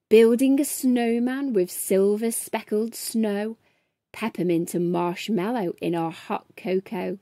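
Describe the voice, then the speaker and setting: A woman's voice: mid-range voice, mellow, no echo, clean studio sound. A woman in her thirties hosting a children's storytime, speaking expressively with a sing-song delivery straight to young listeners. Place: small furnished room at home, indoors.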